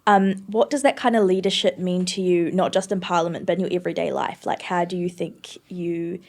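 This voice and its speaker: A woman's voice: fairly low pitch, fairly even intonation, fairly quick, vocal fry, a little mellow. A woman co-hosting an interview, asking questions casually with likes and ums. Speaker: co-host.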